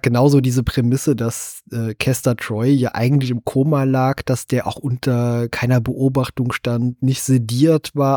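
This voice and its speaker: A man hosts a relaxed film podcast in a mid-pitched, somewhat husky voice. He talks in a chatty way, feeling out his thoughts as he goes. The sound is dry, with hardly any room on it. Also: no echo. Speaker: podcast host. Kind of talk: casual film discussion.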